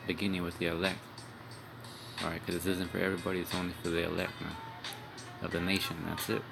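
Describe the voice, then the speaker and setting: Deep gravelly man preaching over music. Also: monotone, fast talker. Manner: rambling, repeats "man" and "all right"; profane, blunt. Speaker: street preacher. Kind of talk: informal religious video sermon. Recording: music playing behind.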